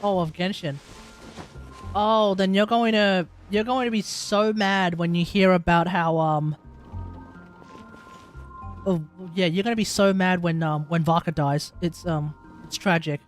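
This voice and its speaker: A man's voice: high voice, fairly even intonation, unhurried, faint music behind. A man with an Australian accent giving casual, opinionated, rambling live commentary as he plays a game. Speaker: game streamer.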